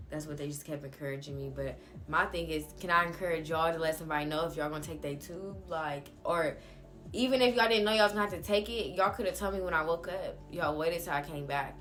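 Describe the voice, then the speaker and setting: A woman's voice: on the low side, bright, forceful tone. A young woman telling a personal story to viewers in a casual, candid, blunt way, with slangy phrasing.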